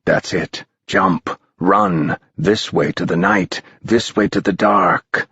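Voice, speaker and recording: A mischievous old male spirit swoops through a storybook night, put on by a man reading aloud. His voice is low and husky, his old-fashioned speech slow and gleeful. The recording sounds slightly muffled and dry. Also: pushed and bright, dry recording, full character voice. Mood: gleeful, spooky.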